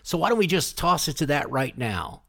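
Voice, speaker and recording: A man's voice: on the high side, very animated, moderate pace, creaky, fairly bright tone, breathy edge. A man hosting a music show, presenting in a casual, conversational style. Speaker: radio show host.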